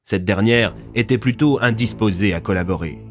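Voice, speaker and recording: Male narrator for serialized audio fiction, lowish voice, scripted storytelling over soft music. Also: normal speed.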